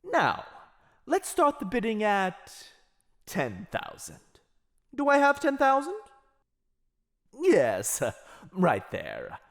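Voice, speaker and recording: A man's voice: sits high, huge pitch swings, very slow, pauses often, a bit bright, heavily acted. A man playing a suave, playful vampire auctioneer in an audio roleplay, with showy, courteous patter. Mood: showy, teasing.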